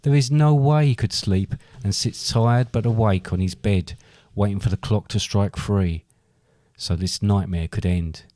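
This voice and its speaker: Mellow low-pitched man narrating comedy. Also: unhurried, no echo. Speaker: podcast co-host, narrator. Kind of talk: scripted comic narration.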